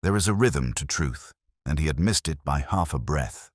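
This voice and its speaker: Very deep-voiced man narrating a detective story. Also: animated, moderate pace, no echo, studio quality.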